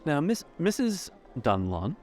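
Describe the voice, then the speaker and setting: A man narrating a tabletop game as game master, deliberate, pausing between phrases to set the scene. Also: fairly high pitch, huge pitch swings, a little mellow.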